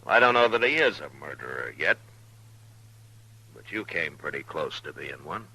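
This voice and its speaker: An actor playing a stern, steadfast frontier marshal, firm and plainspoken, in 1950s radio western style. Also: male voice, extremely low pitch, sing-song, moderate pace, vocal fry, sharp tone, slightly rough, heavily acted, poor audio. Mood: stern warning.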